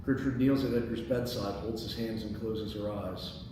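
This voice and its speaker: A man's voice: lowish voice, fairly even intonation, moderate pace. A man hosting a script table read and reading out the stage directions. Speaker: host and stage-direction reader. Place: indoor meeting space. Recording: very echoey room, distant mic, background noise.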